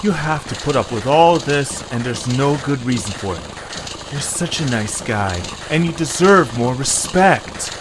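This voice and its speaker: Casting: man as kindly creature leader, earnest, lively mid-pitched voice, slightly noisy audio. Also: unhurried, natural voice. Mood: sympathetic. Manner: earnest, sympathetic. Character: kind, reluctant young male leader of small creatures. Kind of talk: fan audio drama character acting.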